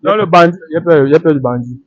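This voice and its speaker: A man's voice: fairly high pitch, rapid-fire. A young man giving casual answers in a street interview, on a slightly muffled recording.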